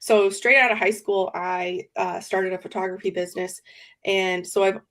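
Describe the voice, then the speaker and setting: A woman's voice: medium pitch, little pitch movement, moderate pace, fairly bright tone. Nasal, congested woman chatting. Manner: chatty, laughs often, many fillers. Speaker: podcast guest. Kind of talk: casual chat.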